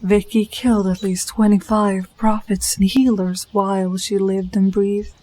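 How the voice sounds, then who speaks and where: A woman's voice: mid-range voice, flat delivery, unhurried, dark tone, close to an everyday voice. A woman playing a practical, matter-of-fact female wolf in a scripted fantasy drama, speaking plainly. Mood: serious.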